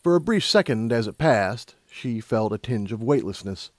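A man reading scripted fiction aloud as an expressive story narrator. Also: mid-range voice, normal speed, dry recording, clean studio sound.

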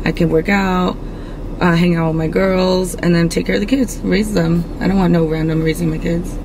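A woman in her twenties answering questions in a casual interview, hesitant and quick to giggle. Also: on the low side, steady tone, noisy background. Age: 20s.

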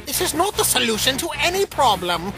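Earnest skeleton hero, comic dub, swooping pitch, slow pleading delivery, very loud music. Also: male voice, sing-song, heavily acted. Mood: worried, pleading. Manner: pleading, grandiose. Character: earnest, theatrical, kind-hearted male skeleton hero. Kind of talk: fan comic dub acting.

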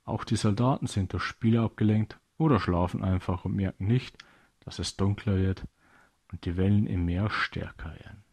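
A man's voice: deep voice, steady tone, moderate pace, darkish tone. A man narrating a scripted children's audio story as a storyteller.

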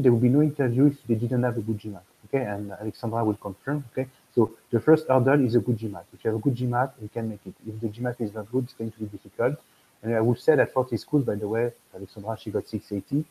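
A man's voice: medium pitch, dark tone, breathy edge, rough recording. A man in his fifties with a French accent, talking on a video call as an admissions consultant, rambling with many fillers and restarts. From France. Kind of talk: video call webinar talk. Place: room at home.

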